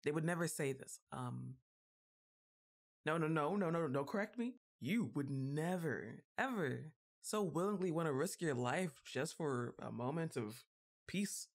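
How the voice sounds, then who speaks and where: A woman playing a goth giantess witch girlfriend, exasperated and fondly bossy, with a drawn-out teasing delivery in an intimate ASMR roleplay. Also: deep voice, expressive, exaggerated voice. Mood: exasperated, worried. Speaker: audio roleplay performer.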